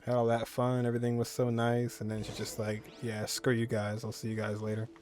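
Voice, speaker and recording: A man's voice: mid-range voice, completely flat delivery, fairly quick, darkish tone. A man giving casual, slangy let's play commentary as a game streamer, reacting as he goes. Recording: game music behind the voice. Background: video game music.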